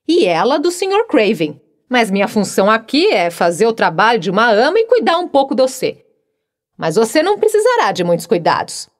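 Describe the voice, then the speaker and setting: A good-humored young housemaid talks frankly and matter-of-factly, as a woman narrator puts her on. Her voice sits fairly high and moves lively through each sentence. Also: fairly quick. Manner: frank, cheerful, matter-of-fact.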